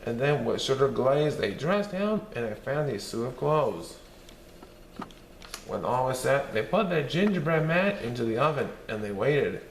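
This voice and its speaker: A man reading a children's story aloud in a lively, expressive way. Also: medium pitch, measured pace, far from the mic, slight background noise. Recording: roomy echo, faint ambience.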